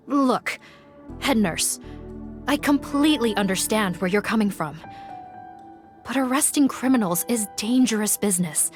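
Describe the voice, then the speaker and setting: A woman's voice: fairly high pitch, lively intonation, measured pace, pauses often, soft background music. A woman playing a businesslike, protective female officer in a video game, formal and firm in manner. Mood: reluctant, protective. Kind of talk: scripted video game voice acting.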